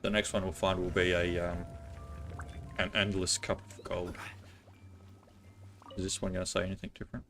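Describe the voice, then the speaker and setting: A man's voice: low voice, little pitch movement, moderate pace, long pauses, fairly bright tone, faint music behind. A man giving casual gameplay commentary as a streamer, musing aloud to himself. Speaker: game streamer.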